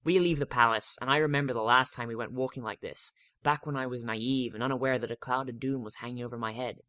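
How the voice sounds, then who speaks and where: A man's voice: medium pitch, steady tone, fast talker, bright, forceful tone, dry recording, clean studio sound. A man giving a dramatic first-person reading of a story as narrator.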